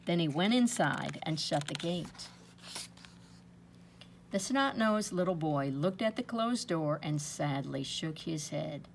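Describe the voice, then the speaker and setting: A woman reading aloud to children in an expressive storytelling style, with dramatic pauses and drawn-out emphasis. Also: low-pitched, creaky, slight background noise. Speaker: storybook reader.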